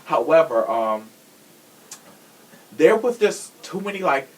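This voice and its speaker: A man's voice: a little high, sing-song, takes it slowly, low-quality recording. A man hosting a podcast in casual true crime chat, sassy and blunt, swearing freely. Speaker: podcast host.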